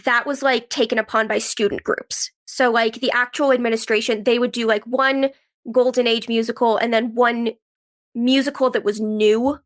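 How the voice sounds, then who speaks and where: A young woman with a fairly high voice, speaking while she has a cold. She talks in a casual, conversational interview style, dropping "like" often into her sentences as she explains things. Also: steady tone, moderate pace. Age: 20s. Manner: youthful, conversational, peppers talk with "like". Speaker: guest, actress and writer.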